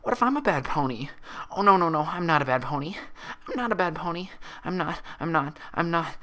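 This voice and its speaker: A man acts out a childlike female cartoon pony, pitched far above a normal male voice. The delivery is fragile and halting, with stammers and a pleading tone, as in a dramatic story reading. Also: expressive, pushed and bright. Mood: panicked.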